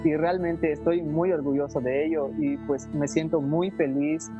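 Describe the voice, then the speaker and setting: Young man, relaxed and friendly. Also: a little high, normal speed, music in the background. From Yucatán, Mexico. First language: Maya. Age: young adult. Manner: relaxed, friendly, many fillers. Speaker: interviewee, Maya language teacher. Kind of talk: casual interview answers. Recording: dull lo-fi sound.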